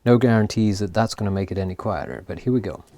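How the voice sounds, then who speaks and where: A man casually talking through a tape machine repair, laughing often and pausing with lots of ums. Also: fairly low pitch, noisy background. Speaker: host of an electronics repair channel. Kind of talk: casual hands-on commentary.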